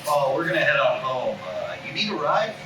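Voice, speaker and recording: A man's voice: on the high side, big pitch swings, moderate pace, crowd noise. A man playing an awkward, concerned young dad with a hesitant way of talking in a comedy table read. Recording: echoey room, distant mic, loud music.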